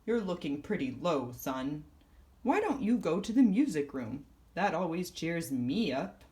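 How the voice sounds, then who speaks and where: A woman voicing an easygoing, wise older male turtle in a storybook, folksy and unhurried. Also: deep voice, very animated, warm tone. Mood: kindly.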